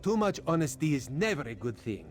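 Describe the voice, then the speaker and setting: A man's voice: fairly high pitch, very animated, brisk. An actor playing a wry, roguish cat-man agent in a fantasy video game, with a droll, theatrical manner. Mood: wry, musing. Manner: third-person speech, droll, theatrical.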